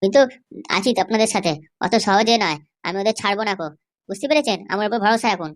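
A woman's voice: medium pitch, fast, close to an everyday voice. A woman voicing a boastful, defiant schemer in a comedy cartoon, full of confident threats. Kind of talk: exaggerated cartoon dialogue.

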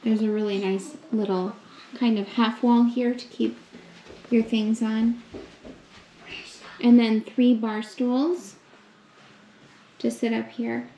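Young woman, slow upbeat room-tour narration, roomy echo, faint hum behind, handheld camera mic. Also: on the high side, level tone, warm tone. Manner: slow, upbeat, pointing things out. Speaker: vlogger giving a room tour. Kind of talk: casual walkthrough narration. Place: indoors in a hotel suite. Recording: echoey rooms with faint ambience.

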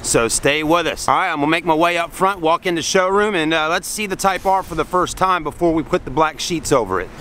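An excited man with a drawl films himself with a handheld camera, talking fast and eagerly. His voice sits a bit high. Steady noise fills the background. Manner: enthusiastic, chatty, exclaiming. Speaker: car vlogger. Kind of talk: excited casual vlog. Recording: noisy outdoor and car sound.

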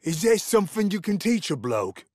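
A man with a British accent playing a big, uncouth, lazy brawler in a video game, his voice gravelly. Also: high-pitched, big pitch swings, normal speed, a little mellow, no room sound, studio quality. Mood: grumbling, cheeky.

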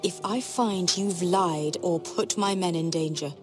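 A woman playing a stern, regal young queen in a video game, speaking in a measured, commanding way over music. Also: lowish voice, lightly acted. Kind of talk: dramatic video game voice acting.

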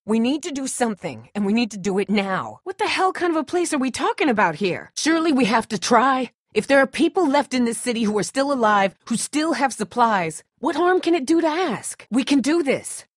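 A woman's voice: fairly high pitch, very animated, a little fast, few pauses, no room sound, clean studio sound. A woman acting a tough, distrustful and protective survivor in a dramatic video game, blunt, sardonic and guarded. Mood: alarmed, urgent.

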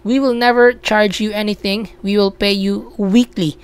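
A woman's voice: medium pitch, fairly even intonation, moderate pace, clear, resonant tone. A woman with a Filipino accent hosting a casual explainer vlog, often checking in with listeners and repeating points. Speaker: video host. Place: small indoor room at a computer.

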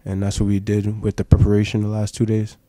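Young male athlete, low dark voice, flat and matter-of-fact, dry close mic. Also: quick pace, no echo. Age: young adult. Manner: brief, matter-of-fact answers. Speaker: college basketball player. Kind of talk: postgame press conference answers. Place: press conference room. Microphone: table mic.

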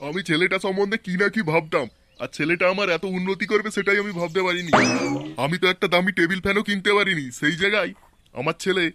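A man voicing excitable, grumbling village men in a colloquial style for a comedy cartoon. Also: sits high, fast talker, faint music behind. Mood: proud. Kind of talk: Bengali comedy cartoon voice acting.

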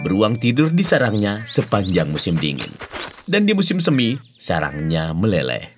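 A man narrating fairy tales as a storyteller, with loud music behind him. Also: fairly low pitch, huge pitch swings, moderate pace. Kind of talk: animated fairy-tale narration.

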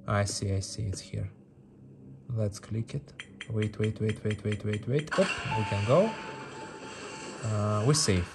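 Slow-paced man over loud game music. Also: on the low side, creaky. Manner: repeats short phrases, chatty. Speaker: gaming video host. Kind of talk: casual gameplay commentary.

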